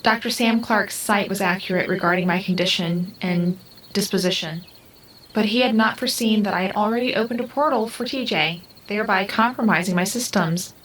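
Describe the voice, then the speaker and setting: An actress playing a half-human house intelligence in an audio drama, calm, formal and devoted, with precise clinical diction. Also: female voice, medium pitch, brisk, a little hiss or hum, lightly acted, low-quality recording. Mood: matter-of-fact.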